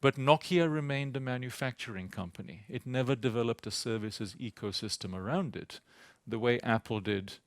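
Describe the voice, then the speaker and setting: A man with a British-sounding accent giving a measured, explanatory panel talk, often halting and repeating words as he finds his phrasing. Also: mid-range voice, dry recording. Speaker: panel speaker; university teacher. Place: think-tank event hall.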